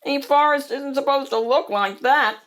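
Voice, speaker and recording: A woman's voice: high voice, moderate pace, fairly bright tone, voice pushed up. A woman voicing a fussy young perfectionist boy animal in a storybook, whiny and yelping. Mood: upset.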